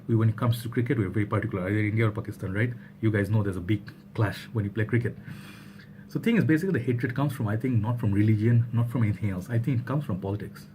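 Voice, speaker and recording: A man's voice: mid-range voice, dark tone, a little hiss or hum. A young man from Bangladesh talking casually to camera in a vlog, rambling with many fillers and restarts. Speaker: vlogger.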